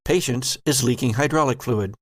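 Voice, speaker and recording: A man's voice: mid-range voice, normal speed, no echo, studio quality. A man improvising narration as game master of a tabletop horror game.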